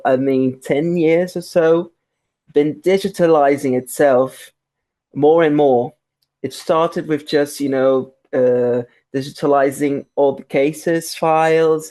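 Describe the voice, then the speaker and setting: Brazilian man, halting and chatty. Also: medium pitch, dark tone. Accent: Brazilian Portuguese. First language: Portuguese. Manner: halting, frequent restarts and fillers. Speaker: guest researcher. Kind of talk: conversational interview.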